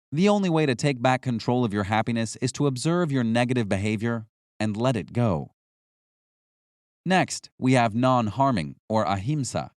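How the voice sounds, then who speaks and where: A man narrates a nonfiction audiobook in a dry, close recording. His mid-pitched voice moves through a wide range of intonation. The delivery is scripted, measured and instructional. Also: a little mellow, no room sound.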